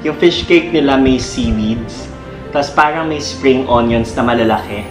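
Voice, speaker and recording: A man's voice: mid-range voice, moderate pace. A man with a Filipino accent chatting casually as a food vlog host, switching between English and Tagalog. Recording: echoey room, music behind. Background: clear background music.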